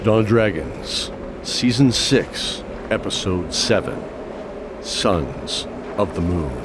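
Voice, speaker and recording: A man hosting and narrating a story show in a measured, theatrical, scripted style. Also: deep voice, expressive, noisy background. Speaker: host and narrator. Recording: music under the voice.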